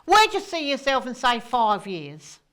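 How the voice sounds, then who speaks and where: A woman acting a serene, self-important female archangel in a comic play, with deliberate, declamatory delivery. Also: high voice, huge pitch swings, fairly bright tone, heavily acted. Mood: inquisitive.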